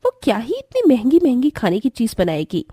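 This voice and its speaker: A woman voicing a rich, scornful young sister-in-law in a cartoon drama. She is sneering and curt, with a high voice and a breathy edge. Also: huge pitch swings, a little fast, dark tone, dry recording, clean studio sound, exaggerated voice.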